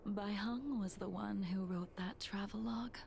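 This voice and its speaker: A woman voicing a calm, aloof, long-lived warrior in mourning for a video game, breathy and measured. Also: medium pitch, a little hiss or hum, lightly acted. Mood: wistful, solemn. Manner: measured, solemn, formal.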